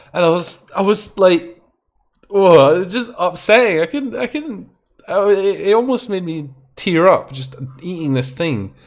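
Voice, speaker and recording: A young Scottish man hosting a live stream, chatting casually and rambling, with plenty of swearing and false starts. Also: fairly high pitch. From Scotland. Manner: rambling, swearing, often restarts and exclaims. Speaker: stream host.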